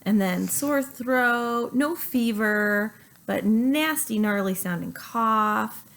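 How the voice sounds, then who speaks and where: A woman's voice: a little high, slow, gravelly, fairly bright tone. A middle-aged woman doctor, feeling unwell, chatting casually and asking her child leading questions for a health video. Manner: coaxing, asks leading questions. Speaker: doctor and host.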